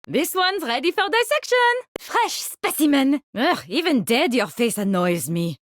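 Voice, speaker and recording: A woman's voice: sits high, no room sound, studio quality. A woman playing an eccentric, haughty mycologist with a French accent, theatrical and gleefully morbid, in video game voice lines. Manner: theatrical, savoring, condescending.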